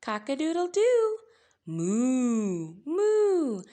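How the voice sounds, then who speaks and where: A woman imitating storybook farm animals such as a rooster, cows, cats and a dog with loud, playful calls. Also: sits high, wildly swooping pitch, very slow, pitched above the performer's own voice, heavily acted.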